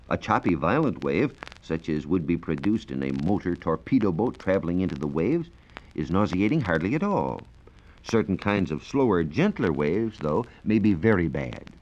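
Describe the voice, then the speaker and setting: A man explaining science in a measured, precise way as a reporter on a 1940s radio program. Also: low-pitched, darkish tone. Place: radio studio. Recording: dull, noisy 1940s radio recording.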